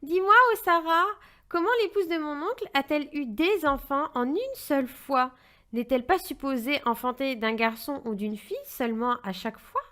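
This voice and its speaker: A woman voicing a lively, inquisitive little girl in a French children's cartoon, expressive and childlike. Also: sits high, moderate pace. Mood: curious.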